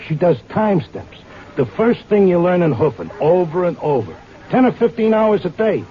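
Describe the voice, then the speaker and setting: A man's voice: high voice, very animated, normal speed, slight background noise. An actor playing a stubborn, devoted horse-race handicapper in a vintage radio drama, talking in slangy wise-guy style. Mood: insistent. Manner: slangy, streetwise Broadway wise-guy talk. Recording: dull old radio recording with music.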